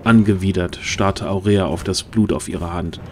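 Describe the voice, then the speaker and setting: A man narrating a scripted fantasy audio drama in a measured storytelling manner. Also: low-pitched, a little mellow, soft background music.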